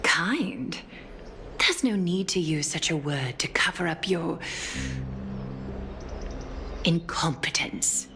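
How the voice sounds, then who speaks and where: An actress playing an ageless, dignified demigod lady in a video game, speaking in a measured, formal, coolly disdainful way. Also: on the low side, noisy background. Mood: scornful. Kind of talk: dramatic video game voice acting.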